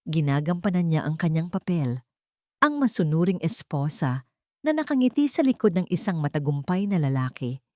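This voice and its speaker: A woman's voice: low voice, very animated, moderate pace, a little mellow, no echo, studio quality. A woman with a Filipino accent giving a dramatic scripted narration of an audiobook story.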